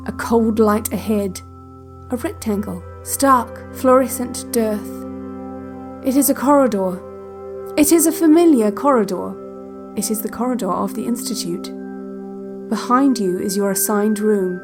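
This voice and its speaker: A woman playing a controlled, quietly ominous relaxation-cassette narrator, guiding a visualization in a measured, hushed, detached way over faint music. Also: fairly high pitch. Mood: hypnotic, eerie. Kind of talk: slow guided visualization, scripted audio drama.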